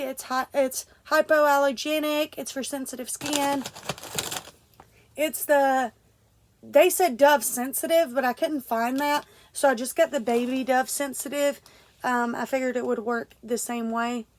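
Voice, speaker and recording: High-voiced, stuffy-nosed woman vlogging. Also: steady tone, unhurried, slight background noise. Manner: rambling, many fillers and restarts. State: congested, stuffy nose. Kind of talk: casual unboxing vlog.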